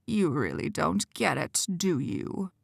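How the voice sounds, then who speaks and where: Smug demon leader, male, slow and low-voiced; a woman reads him. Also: big pitch swings, creaky, no echo, clean studio sound, heavily acted. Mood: menacing. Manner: slow, low, quiet taunting.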